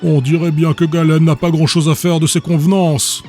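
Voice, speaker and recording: A man's voice: a little high, flat delivery, moderate pace, a little mellow, soft background music, natural voice. A man voicing a bitter, disillusioned young man condemning his own people in an audiobook. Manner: grim, listing accusations.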